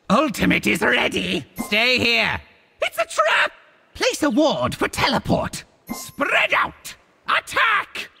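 A man's voice: extremely high pitch, vocal fry, bright, forceful tone, exaggerated voice. A man voicing a boastful, theatrical cartoon monster villain for a video game, with a hammy, swooping delivery.

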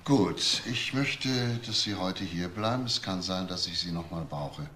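An actor playing a methodical police detective, asking persistent, measured questions in a crime radio play. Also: male voice, low-pitched, bright, forceful tone. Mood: measured, probing. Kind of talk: German crime radio drama acting. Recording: faint background noise.

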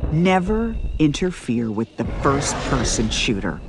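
Lively, commanding game soldier. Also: male voice, mid-range voice, a little slow. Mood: stern.

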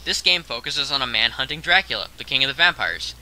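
Bright, animated young man hosting a countdown. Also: mid-range voice, fast talker, rough recording. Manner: conversational, addresses the viewer with rhetorical questions. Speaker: countdown video host. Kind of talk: scripted top-ten list narration.

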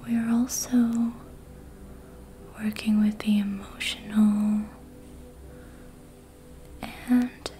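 A woman whispers very slowly in a soft, breathy ASMR voice with a mellow tone. She speaks gently in short, soothing phrases with long pauses, over background music. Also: mid-range voice, level tone. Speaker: host, reiki and facial massage practitioner. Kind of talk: ASMR, slow guided narration of a treatment.

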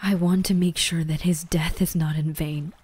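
A woman playing a gentle, grieving but resolute young ghoul girl in a roleplay audio drama, speaking softly and earnestly with pauses and whispers. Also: fairly low pitch, fairly even intonation, slightly rough. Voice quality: soft, often breaking into whispers. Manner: slow, emotional, earnest, with pauses.

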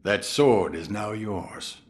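An actor playing a brooding old man in a video game, deliberate, weighty and questioning. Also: on the low side. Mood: brooding, probing. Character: old man, brooding, probing mentor to a warrior.

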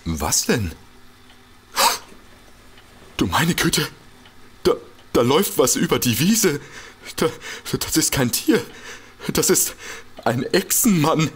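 Excitable, husky, stammering fellow. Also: male voice, fairly high pitch, slow, pauses often, exaggerated voice. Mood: amazed, excited. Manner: stammering, exclaiming, easily amazed. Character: gullible, excitable man, a food-loving paranormal enthusiast. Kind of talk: comic audio drama acting.

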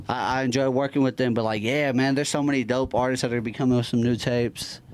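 A young man with a medium-pitched voice talks as a podcast co-host. His delivery is loose and slangy, like chatting with friends on a couch, held close to a handheld microphone. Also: fairly even intonation, fast talker, background noise. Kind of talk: casual slangy group chat. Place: small room with couches, indoors.

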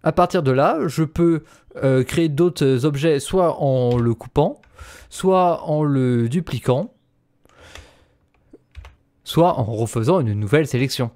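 A man's voice: on the high side, takes it slowly, long pauses, darkish tone. A man presenting an instructional screencast as a voice-over. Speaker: tutorial presenter.

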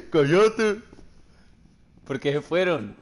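A man's voice: extremely high pitch, fairly bright tone, a little hiss or hum. A young man, chatting casually while streaming a game with friends, laughing often and teasing them. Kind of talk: casual gaming chat with friends.

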